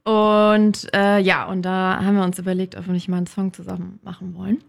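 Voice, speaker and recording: A woman singer from Cologne answers interview questions in a relaxed, hesitant way, full of small fillers. Her voice sits at a mid pitch. She speaks close to the microphone in a studio. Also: brisk, creaky. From Germany. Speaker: pop singer, guest. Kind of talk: radio interview. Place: radio studio.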